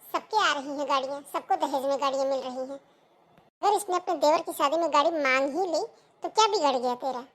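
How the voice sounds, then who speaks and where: Woman voices a bossy cartoon mother-in-law, loud scolding tone. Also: high voice, a little fast. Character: greedy domineering mother-in-law.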